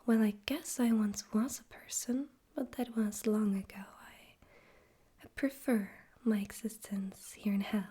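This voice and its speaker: A woman voicing a suave, amused, menacing male demon overlord in a breathy whispered ASMR roleplay, slow and condescending. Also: mid-range voice, fairly even intonation, dark tone. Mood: haughty amusement. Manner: slow, condescending, teasing, deliberate.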